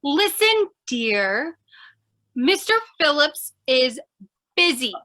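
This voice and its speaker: Woman playing a feisty, high-pitched, bright girl reporter. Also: sing-song, very slow, exaggerated voice. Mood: bossy, exasperated. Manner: insistent, exclamatory, theatrical. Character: feisty, pushy young female aspiring reporter, impatient fiancée. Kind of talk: old-time radio comedy acting.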